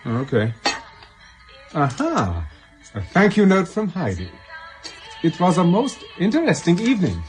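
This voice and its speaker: An actor playing a polite, diffident English ex-army captain in a radio drama, British-accented and hesitant. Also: male voice, high-pitched, huge pitch swings, a little slow, pauses often, faint music behind, heavily acted. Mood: light, wry.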